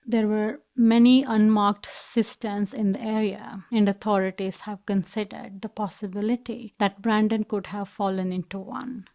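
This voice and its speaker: A woman's voice: mid-range voice, fairly even intonation, moderate pace, hardly any pauses, warm tone. A woman reading scripted documentary narration as a true crime storyteller.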